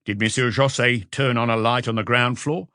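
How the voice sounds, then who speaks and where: A man's voice: medium pitch, fairly even intonation, normal speed, bright, forceful tone, no echo, close to an everyday voice. Man reading a terse, probing police questioner, dry sound. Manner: terse, methodical.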